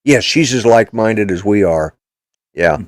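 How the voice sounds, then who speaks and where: An older man with a low voice talks bluntly and rambles as he hosts a casual political chat. His voice is recorded close, with a dry sound and very little room echo. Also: animated, a little slow. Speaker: podcast host.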